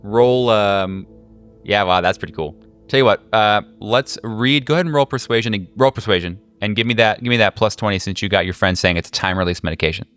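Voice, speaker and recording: A man's voice: fairly low pitch, fairly quick, a bit bright, smooth, faint music behind. A man running a tabletop game as game master, narrating casually in an informal, jokey, sweary way.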